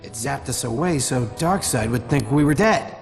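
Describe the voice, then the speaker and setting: A man playing a cocky, quippy young superhero in a video game, flippant and energetic. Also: mid-range voice, moderate pace, gravelly, faint music behind. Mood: earnest.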